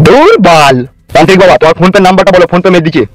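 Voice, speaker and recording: Man as a bossy, rapid-fire cartoon husband. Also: sits high, no echo, natural voice. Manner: bossy, exclaiming. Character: gruff, domineering village husband and stern father. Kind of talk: comic cartoon dubbing. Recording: music behind the voice.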